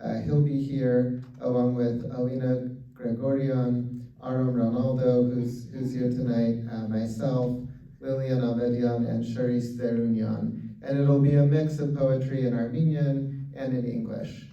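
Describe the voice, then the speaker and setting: A man's voice: medium pitch, little pitch movement, measured pace, dark tone. Man making event announcements in a hall. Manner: casual, many fillers, upbeat. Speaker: event organizer and host. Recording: echoey hall, distant muffled mic.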